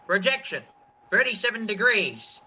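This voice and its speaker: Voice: mid-range voice, big pitch swings, normal speed, bright, forceful tone, slightly rough, muffled recording, heavily acted. An actor playing a commanding artillery officer in a radio play, giving clipped firing orders.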